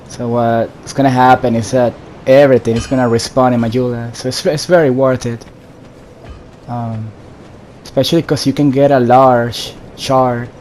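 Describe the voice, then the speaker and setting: A man giving casual, low-key commentary on his own video game playthrough, thinking aloud in fragments. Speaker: gamer narrating own playthrough. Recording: steady background noise behind voice.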